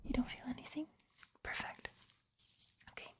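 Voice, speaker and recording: A woman in her twenties doing a whispered ASMR roleplay, speaking close to the mic in a breathy, gentle, reassuring way. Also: normal speed. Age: 20s. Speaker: ASMR roleplayer playing a surgeon. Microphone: close mic, speaking through a surgical mask.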